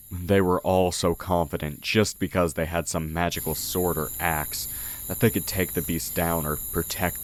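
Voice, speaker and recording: A man playing a sly oni who poses as a humble village blacksmith in an audio roleplay, talking in a measured, condescending way. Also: low voice. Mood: scornful. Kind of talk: audio roleplay, intimate character acting. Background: night forest ambience with crickets.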